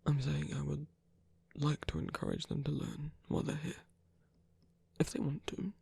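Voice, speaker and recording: Someone acting a tired tender villain boyfriend type for asmr, super gravelly n breathy, kinda halting, close dry sound. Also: mid-range voice, big pitch swings, no echo, full character voice. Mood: earnest, halting. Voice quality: breathy, husky, often whispery. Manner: hesitant, halting, gently wry. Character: villain lover, soft-spoken, weary, tender, chronically in pain. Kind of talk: romantic ASMR roleplay audio drama.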